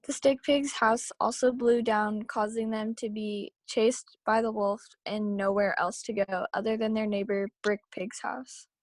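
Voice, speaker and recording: A woman's voice: mid-range voice, steady tone, a little slow, few pauses, no echo. A woman reading scripted story narration aloud at a table read over a video call.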